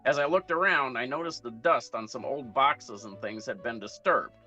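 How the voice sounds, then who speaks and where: Bright-voiced man as a 1940s radio detective. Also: mid-range voice, normal speed, soft background music, natural voice, poor audio. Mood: matter-of-fact. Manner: matter-of-fact, clipped storytelling. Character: hard-boiled 1940s private detective recounting his case. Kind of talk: 1940s radio noir narration.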